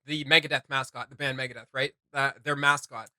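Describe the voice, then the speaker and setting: A man's voice: mid-range voice, little pitch movement, brisk, pushed and bright, no echo, clean studio sound. A man chatting casually as co-host of a reaction video, rambling and often searching for words.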